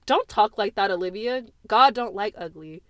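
A woman's voice: mid-range voice, expressive, moderate pace, a bit bright, dry recording, close to an everyday voice. A woman voicing a stern middle-aged mother who scolds in a cold, cutting way.

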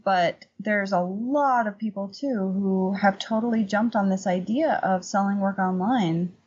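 A woman with a husky voice giving casual, conversational answers as an interview guest. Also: mid-range voice, measured pace, a little mellow, highs cut off, a little hiss or hum. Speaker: podcast guest, painter and workshop teacher.

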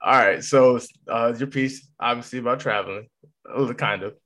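A man's voice: mid-range voice, brisk, fairly bright tone. An American man hosting a show, speaking informally in a casual, chatty interview style. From Massachusetts, United States. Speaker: show host.

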